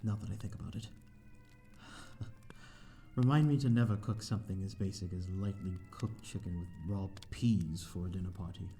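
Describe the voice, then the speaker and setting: Man playing a deep, mellow, courteous vampire. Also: normal speed, faint music behind. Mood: gracious, self-deprecating. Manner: hesitant, polite, formal old-fashioned phrasing. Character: courteous, lonely old-fashioned male vampire living in a manor. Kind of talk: slow ASMR audio roleplay, addressing the listener.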